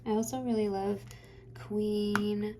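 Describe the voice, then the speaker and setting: A woman's voice: mid-range voice, little pitch movement, slow pace, background noise. A woman hosting a craft channel, chatting casually while she works, rambling and hesitant with many fillers, her voice tired and getting dry.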